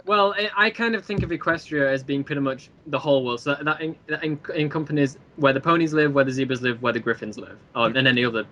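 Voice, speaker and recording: Bright, fast-talking man, podcast guest. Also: mid-range voice, lo-fi sound. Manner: animated, rambling explainer with mock-showman asides. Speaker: interview guest, creator of a fan web series. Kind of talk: casual, jokey podcast interview.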